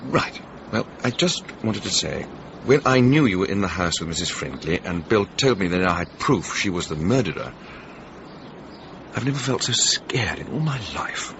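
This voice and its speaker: Fussy English gentleman, lively pitch. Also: moderate pace. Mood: awkward, tender. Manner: hesitant, proper, slightly pompous. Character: fussy, buttoned-up English gentleman neighbour, awkwardly fond. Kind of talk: radio drama acting. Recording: slightly dull, clearly noisy background.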